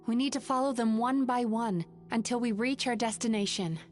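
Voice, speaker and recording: A woman voicing a calm, resolute dream eater. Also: a little high, normal speed, natural voice. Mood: serious. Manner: gentle, composed, serious. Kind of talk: video game voice acting. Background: game music.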